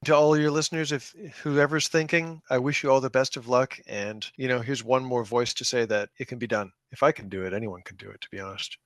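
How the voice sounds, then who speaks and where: A man's voice: medium pitch, a little fast, few pauses, fairly bright tone, dry recording. A man speaking conversationally as an interview guest, explaining things in a reflective, encouraging way. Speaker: podcast guest, former smoker. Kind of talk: conversational interview, sharing personal experience.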